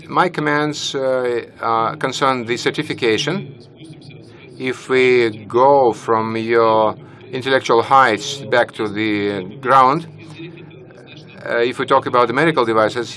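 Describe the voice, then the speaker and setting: A man commenting from the audience at a conference, holding a handheld mic and deliberately speaking lower than his natural high voice. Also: pushed and bright. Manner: measured, with fillers. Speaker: audience member. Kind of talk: question from the floor. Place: conference hall, standing among the audience. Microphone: handheld microphone.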